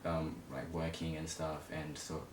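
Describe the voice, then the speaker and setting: A man in his early twenties from Zimbabwe speaks in a low, fairly monotone voice. He tells his story informally, pausing and feeling for words as he goes. The recording is echoey and distant, with rumbling noise behind him. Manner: hesitant, halting, informal. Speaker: interview guest. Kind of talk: casual personal storytelling.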